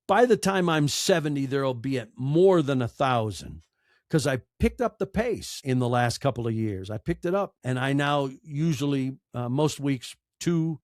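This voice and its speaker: A man in his sixties with an American accent, giving reflective, earnest interview answers in short, emphatic, deliberate phrases. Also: medium pitch, a little mellow, no echo, studio quality. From United States. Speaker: podcaster and storyteller, interview guest.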